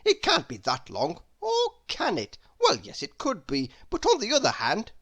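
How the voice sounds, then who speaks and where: A woman's voice: medium pitch, huge pitch swings, moderate pace, fairly bright tone, voice pushed up, exaggerated voice. A woman voicing a rambling, chattering storybook man who keeps second-guessing himself. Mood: rambling, dithering. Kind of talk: children's storybook character voice.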